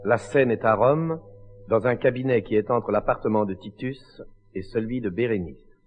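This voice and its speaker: Over clear music, a man with a rather low voice formally announces a play. The scripted introduction sounds muffled, like an archive recording. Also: moderate pace.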